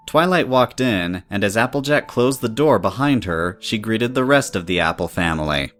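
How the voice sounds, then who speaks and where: A man's voice: on the low side, lively intonation, moderate pace, fairly bright tone, soft background music. A man reading scripted story narration in the third person, as the narrator of an audio drama.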